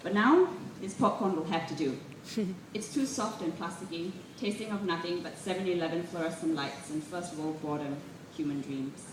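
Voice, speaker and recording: A woman gives an expressive, deliberate live reading of literary prose and poetry. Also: lowish voice. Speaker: reader at a literary festival. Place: live event room, recorded from a distance. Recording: roomy echo, distant mic, clearly noisy.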